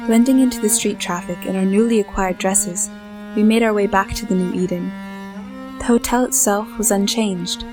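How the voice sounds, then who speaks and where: An actress playing a composed heroine who narrates her own tale in an audio drama, measured and formal. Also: mid-range voice, natural voice. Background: cello music.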